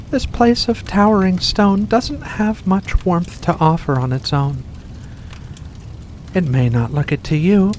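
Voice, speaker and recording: An ancient vampire played by a woman in an ASMR roleplay, speaking very slowly in a lowish register. The manner is silky and genteel, all coaxing endearments over a predatory hunger. A soft layer of ambient noise sits behind the voice. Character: ancient predatory vampire with furry paws, seductive, faux-kindly. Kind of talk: ASMR roleplay addressed to the listener.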